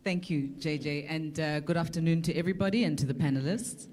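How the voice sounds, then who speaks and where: A woman's voice: deep voice, quick pace, darkish tone. A South African woman making a political argument as a panelist, articulate and emphatic, repeating phrases for weight. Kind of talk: prepared political argument at a public forum.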